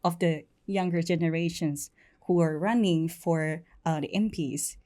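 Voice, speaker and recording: A woman's voice: lowish voice, a little slow, warm tone. A woman, hosting a casual talk show interview in an informal, giggly manner. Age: 20s. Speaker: host.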